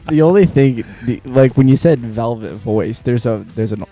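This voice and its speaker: Male podcast host, casual banter, mellow voice, music behind. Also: mid-range voice, lively intonation, normal speed. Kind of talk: casual banter and show intro.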